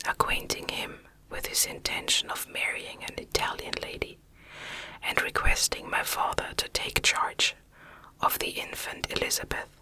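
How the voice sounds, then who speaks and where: A woman reads a classic novel as ASMR in a breathy, unvoiced whisper, gentle and measured in her phrasing. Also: rough recording. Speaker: audiobook narrator.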